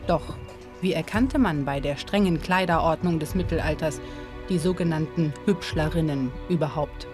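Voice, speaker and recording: A woman giving scripted documentary narration in a measured, even storytelling delivery. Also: sits low. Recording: narration over loud music.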